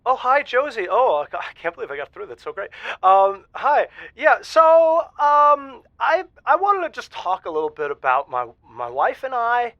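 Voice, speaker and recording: A man's voice: very high-pitched, wildly swooping pitch, moderate pace, hardly any pauses, no echo, highs cut off, full character voice. A man playing a nervous, eager middle-aged married caller to a radio show, stammering and gushing in an improvised audio drama. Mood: nervous, thrilled. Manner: flustered, stammering, gushing, hesitant.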